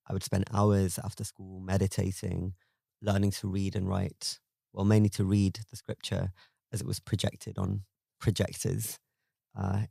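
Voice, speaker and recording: A man in his twenties telling a personal story in a thoughtful, wry and measured way. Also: lowish voice, vocal fry, dark tone, husky, dry recording, studio quality. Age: 20s. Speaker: guest storyteller.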